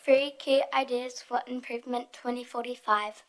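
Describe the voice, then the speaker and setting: A woman's voice: on the high side, fairly even intonation, normal speed. A young woman reading her part of a prepared presentation as a youth speaker. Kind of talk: prepared group presentation, read aloud.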